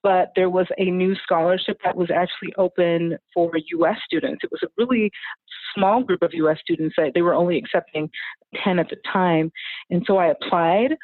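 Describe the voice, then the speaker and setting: A woman's voice: fairly low pitch, dry recording. A woman in her forties, a family physician, telling her story as a podcast guest, rambling with fillers and laughing often. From United States (California). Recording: tinny phone-like line, dry.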